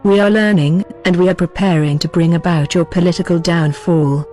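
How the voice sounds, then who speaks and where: A narrator reads a scripted political address in a formal, solemn tone. The voice sits at a medium pitch and declaims each statement, with music playing behind it. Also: fairly even intonation, brisk, darkish tone. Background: background music.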